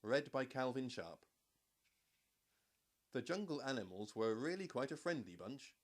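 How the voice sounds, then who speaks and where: A man's voice: mid-range voice, animated, a little slow. Man narrating a children's storybook. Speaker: audiobook narrator.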